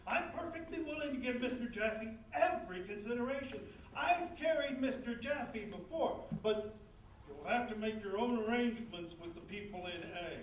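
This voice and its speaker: A man's voice: extremely high pitch, animated, normal speed. A man playing a patient but firm, official train conductor in a stage comedy. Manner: official, unruffled. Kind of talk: theatrical stage comedy acting. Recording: echoey room, distant mic, noisy.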